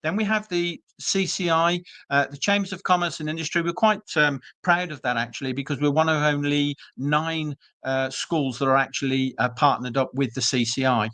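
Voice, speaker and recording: A man's voice: a little high, fairly even intonation, moderate pace, few pauses, sharp tone, no echo. A British man presenting an online webinar for a business school, chatty and reassuring with frequent ums and uhs, speaking over a video call. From Britain.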